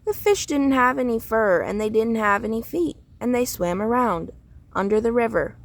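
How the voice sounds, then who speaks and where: A woman reading a children's story aloud in a deliberate, expressive storytelling way. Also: fairly high pitch, gravelly. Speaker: storybook reader.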